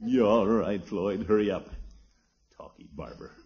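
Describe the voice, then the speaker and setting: Blustery middle aged uncle in an old radio sitcom, husky, dull muffled recording. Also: male voice, fairly low pitch, a little slow, darkish tone, exaggerated voice. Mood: grumpy, flustered. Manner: blustery, theatrical, chuckling, exclamatory. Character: pompous, easily flustered middle-aged uncle, blustery household head. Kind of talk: 1950s radio situation comedy acting.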